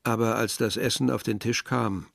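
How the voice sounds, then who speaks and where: An actor playing a narrator who looks back on a youthful journey in a measured, reflective way, in a radio drama. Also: male voice, mid-range voice, steady tone, creaky, dry recording, clean studio sound. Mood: wistful, reflective. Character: male narrator recalling his youthful journey, wistful country observer.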